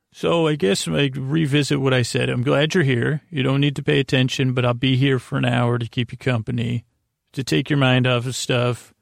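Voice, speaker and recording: A man hosting a bedtime podcast, talking in a lulling, meandering way, halting often and circling back over his own phrases. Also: medium pitch, gravelly, no echo, clean studio sound. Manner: meandering, halting, trails off and repeats himself; lulling, soothing delivery. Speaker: podcast host. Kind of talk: rambling bedtime monologue to help listeners sleep.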